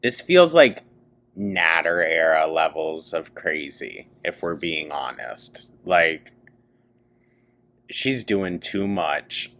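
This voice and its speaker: A man's voice: rumbling low, expressive, slow pace, fairly bright tone. A man hosting a reaction show, giving casual, mocking commentary in an exasperated, slangy, incredulous way.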